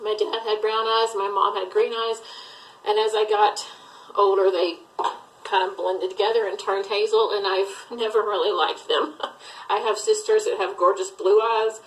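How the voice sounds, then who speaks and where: A middle-aged woman from Alabama talks through a casual get-to-know-me video, rambling from one point to the next with plenty of fillers. Her voice sits at an ordinary middle pitch, and her manner is chatty and easygoing. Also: steady tone, moderate pace, rough recording. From Southern US, Alabama. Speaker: thrift reseller vlogger. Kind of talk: casual tag video chat.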